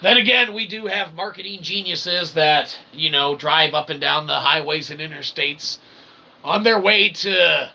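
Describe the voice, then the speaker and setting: High-pitched gravelly man, comic channel host. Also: unhurried, bright, forceful tone, rough recording. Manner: folksy, repeats "okay?" as a tag; self-deprecating, tongue-in-cheek. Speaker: independent internet channel host promoting his books. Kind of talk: rambling comic monologue to viewers.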